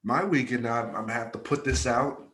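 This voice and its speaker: A man's voice: mid-range voice, a little fast, fairly bright tone. Stuffy-nosed guy co-hosting a chat show, laid back, tells stories with lots of slang. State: congested. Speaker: podcast co-host. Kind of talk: casual chat.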